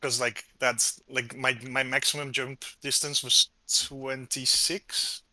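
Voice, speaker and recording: A man's voice: mid-range voice, level tone, takes it slowly, a crowd in the background. A man playing a tabletop game, explaining his character's new ability with lots of fillers. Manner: explains with many likes and fillers. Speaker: player. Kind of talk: casual tabletop game chat.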